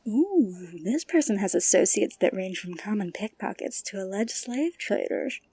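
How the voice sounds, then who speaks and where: A woman's voice: medium pitch, sing-song, normal speed, dark tone, slightly rough. A woman acting an intrigued, eager bounty hunter in a fantasy audio drama, musing aloud.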